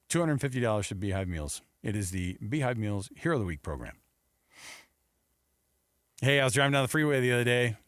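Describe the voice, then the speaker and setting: Sports radio co-host: American man, mid-pitched voice, casual bantering delivery, dry close studio mic. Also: no room sound. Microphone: close studio radio microphone.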